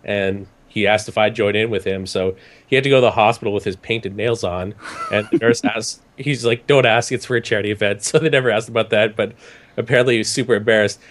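A young man from Canada, hoarse from a cold, telling a rambling story in casual chat with many restarts. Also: on the low side, quick pace, a bit bright. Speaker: podcast guest recounting a trip.

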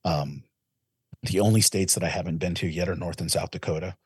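A man in his forties with a very low, husky voice. He speaks earnestly and reflectively, often circling back and restarting his sentences. The sound is dry and close, with no room around him. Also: brisk, no room sound. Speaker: interventionist guest. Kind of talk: casual interview talk.

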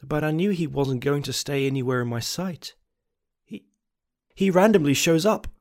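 A man playing a desperate, obsessed confessor in a horror story, halting and repeating words. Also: on the high side, close to an everyday voice. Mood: troubled, wistful. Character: unstable, haunted anonymous confessor on tape, desperate and obsessed. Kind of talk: dramatic first-person horror monologue.

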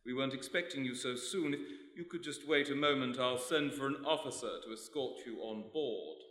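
Boarding attendant for an actor: officious yet courteous man, bright projected delivery, radio play in a reverberant hall. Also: medium pitch, a little fast, lightly acted. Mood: officious courtesy. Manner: announcing, formal, projected. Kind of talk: live radio play acting. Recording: echoey hall, live stage.

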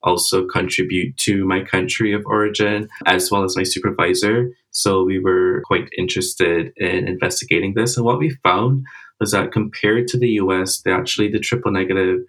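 Deep-voiced man explaining research. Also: steady tone, fairly bright tone, low-quality recording. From Barbados. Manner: measured and explanatory, with "uh" and "you know" fillers. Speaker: cancer researcher guest. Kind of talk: interview answers.

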